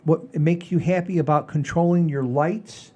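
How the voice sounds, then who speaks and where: Mellow-voiced man telling rambling stories. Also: fairly high pitch, moderate pace. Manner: rambling, repeats himself, folksy "I says". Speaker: comedy podcast host. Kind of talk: casual comedic chat, telling anecdotes.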